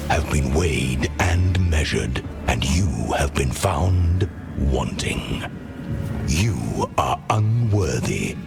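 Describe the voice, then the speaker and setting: Imperious evil judge, super deep male, gravelly, contemptuous, game villain. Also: expressive, extremely slow, long pauses, over loud music, exaggerated voice. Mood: condemning. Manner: pronouncing, contemptuous, stately. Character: menacing, imperious judge delivering condemnation. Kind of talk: fantasy game acting.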